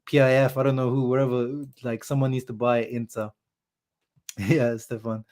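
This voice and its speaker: A man's voice: mid-range voice, moderate pace. A young man with a sore throat hosting a live football reaction stream, chatting casually and answering viewers' comments. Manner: animated, chatty, says "bro" and "like" a lot. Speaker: football fan channel host. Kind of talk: live-stream match reaction, reading chat.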